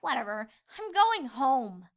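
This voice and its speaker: A woman's voice: high voice, sing-song, takes it slowly, exaggerated voice. A woman voicing an anxious, exasperated young female pony scholar in a cartoon fan reading, with indignant, emphatic questioning. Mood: angry. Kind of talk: cartoon fan-fiction voice acting.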